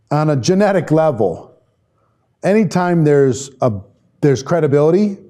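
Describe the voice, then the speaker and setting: A man with a mellow, relaxed voice that sits a little high for a male speaker. He leads an informal live online class as a trainer, talking conversationally and often thinking aloud. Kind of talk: informal live lesson, reading and answering viewer comments.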